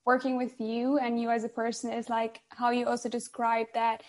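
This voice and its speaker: A woman's voice: on the high side, monotone, moderate pace, darkish tone. A woman hosting a podcast interview, gushing and filling her talk with "like.". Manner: gushing, filler-heavy with "like". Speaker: podcast host.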